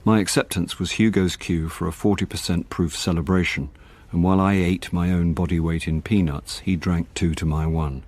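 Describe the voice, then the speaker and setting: An actor playing a wry, hard-up middle-aged British actor who talks and narrates drily in a radio drama. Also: male voice, low-pitched, normal speed. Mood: wry, weary. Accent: British English. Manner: dry, deadpan, world-weary. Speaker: lead character and first-person narrator.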